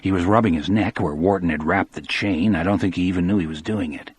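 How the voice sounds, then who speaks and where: A man with a husky voice reading scripted first-person audiobook narration. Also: deep voice, quick pace, no room sound.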